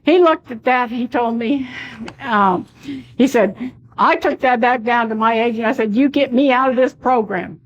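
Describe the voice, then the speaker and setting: A woman's voice: on the high side, moderate pace, dull audio, a little hiss or hum. A woman losing her voice as she talks at a public meeting in a conversational way, full of fillers.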